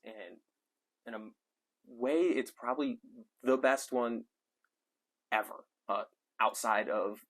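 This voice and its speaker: A man's voice: on the low side, fairly bright tone, low-quality recording. A young man giving an enthusiastic, rambling movie review off the cuff, with frequent fillers. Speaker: film reviewer. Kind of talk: casual unscripted movie review.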